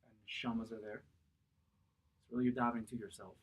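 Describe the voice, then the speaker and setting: A man's voice: lowish voice, fairly even intonation, brisk, husky. A man in his thirties, a rabbi teaching a text class, asking questions and answering them himself while repeating key phrases. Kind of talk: Torah class, explaining a text. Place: small synagogue study room, seated at a table. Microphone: camera a few feet away across the table.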